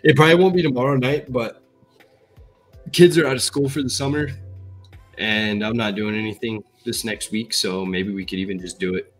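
A man's voice: fairly low pitch, normal speed, faint music behind. A young man hosting a casual livestream from an indoor room, chatting and reading viewer comments aloud on a headset mic. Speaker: livestream host. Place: indoor room, gaming chair.

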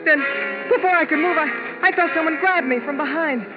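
A woman's voice: high-pitched, brisk, music in the background, close to an everyday voice. An actress playing a frightened, anxious young Las Vegas showgirl in a 1950s radio drama, with dramatic delivery. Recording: muffled old 1950s radio recording.

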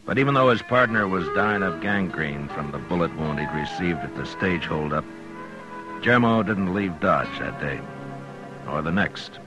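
An actor playing a steady, dry-spoken frontier marshal in a 1950s radio western. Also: male voice, sits low, normal speed, over music, poor audio. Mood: somber narration. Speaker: lead actor and narrator.

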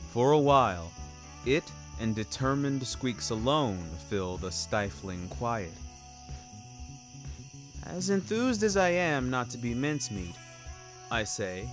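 A man narrating a scripted first-person story in a wry, theatrical, ornate manner. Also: medium pitch. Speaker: narrator of a fiction podcast. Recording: music bed behind narration. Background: music.

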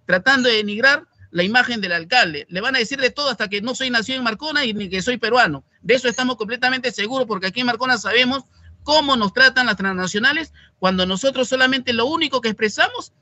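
High, bright-voiced impassioned man. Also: fast, no room sound. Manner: emphatic, indignant, repeats for stress. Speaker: mayor. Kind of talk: impassioned address to a committee. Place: indoor office. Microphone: video call.

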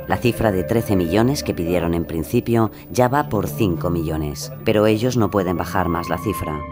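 A man narrating a scripted true-crime story as the show's narrator. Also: low-pitched.

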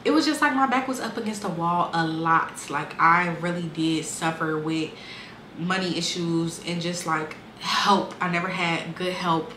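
A woman's voice: lowish voice, fairly even intonation, a little slow, sharp tone, roomy sound, slight background noise. A woman in her twenties casually and candidly telling her life story, with lots of "um" and "like". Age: 20s. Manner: candid, conversational, frequent fillers and restarts.

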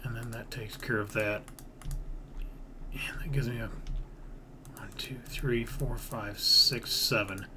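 A man with an average-pitched voice narrates his own game build in a casual, rambling way, thinking aloud and half-muttering as he goes. He is recorded indoors at a computer, with clear background noise and typing. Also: fairly even intonation, slow pace, long pauses. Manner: rambling, half-muttering counts, mild swearing. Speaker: gaming video creator narrating his own build series.

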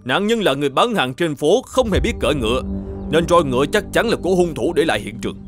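A man's voice: on the high side, animated, fast, music in the background, natural voice. A man voicing a stern, shrewd governor in a folk-tale cartoon, speaking in a commanding, formal and accusatory way. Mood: stern, accusing. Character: stern, shrewd male governor judging a murder case.

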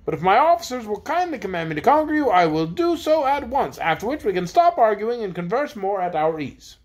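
A woman's voice: medium pitch, big pitch swings, brisk, a little mellow, pitched above the performer's own voice. A woman voicing a courteous but stubborn young male army private in a storybook, firm and matter-of-fact. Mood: agreeable, matter-of-fact. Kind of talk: storybook character voice.

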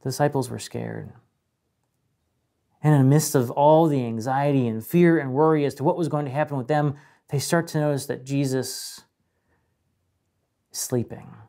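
The voice of a man at the front of a small church, a mellow, measured pastor preaching with weighted pauses. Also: mid-range voice, fairly even intonation, creaky. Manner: measured, dramatic pauses and emphasis. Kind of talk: sermon. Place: indoor room with a painted mural, speaking to camera.

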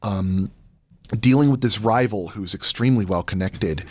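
A man's voice: on the low side, normal speed, a little mellow, no echo. A man in his thirties talking casually as an interview guest, close on a studio microphone. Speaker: podcast guest, voice actor and game master. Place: podcast studio, indoors. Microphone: close studio mic on boom arm, headset monitoring.

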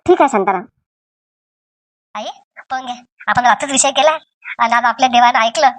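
A woman voicing boastful, excitable villagers in a comedy cartoon, emphatic, with a rural Vidarbha Marathi accent. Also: a little high, brisk, no echo, lightly acted. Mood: excited. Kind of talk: comic cartoon voice acting in village dialect.

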